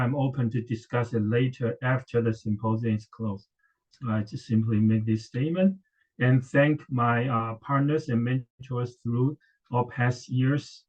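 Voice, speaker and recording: A middle-aged man from Taiwan, a professor, presenting at an academic conference with frequent hesitations and restarts. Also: medium pitch. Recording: dull lo-fi audio.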